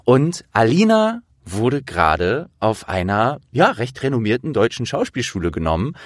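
A man in his thirties chatting casually as a podcast interviewer, with a jovial, animated manner. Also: mid-range voice, moderate pace, no echo, clean studio sound.

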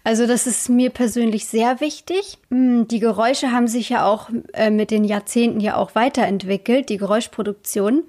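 High-voiced woman chatting in an interview. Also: moderate pace, few pauses, darkish tone. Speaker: interview guest, singer. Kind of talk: casual interview chat.

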